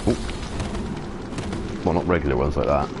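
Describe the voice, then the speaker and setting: Very deep-voiced man streaming from his bedroom. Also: normal speed, heavy background noise. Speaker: game streamer. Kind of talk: casual live gameplay commentary. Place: hot bedroom. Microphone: console headset mic.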